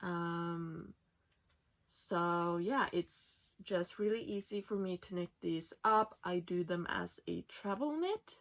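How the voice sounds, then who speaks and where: A young woman in her twenties from Norway talks in English, her second language, at a slow, unhurried pace. She chats casually, with plenty of fillers, while sitting in her bed at home. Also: fairly low pitch, fairly bright tone. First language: Norwegian. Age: 20s. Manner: chatty, with many fillers. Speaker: knitting podcast host. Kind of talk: casual chat.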